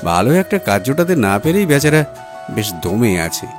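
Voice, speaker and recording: Guy doing a cocky breezy businessman husband in an audiobook, pitch all over, music behind. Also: sing-song, normal speed, lightly acted. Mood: coaxing, upbeat. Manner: breezy, self-assured, drops in English words. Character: confident, breezy, boastful young businessman husband.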